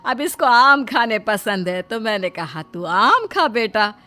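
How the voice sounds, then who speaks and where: A woman's voice: a little high, sing-song, unhurried, sharp tone, exaggerated voice. A woman playing a bossy, sarcastic middle-aged Indian mother-in-law in a cartoon, scolding theatrically. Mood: mock sweet. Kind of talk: Hindi cartoon story dubbing.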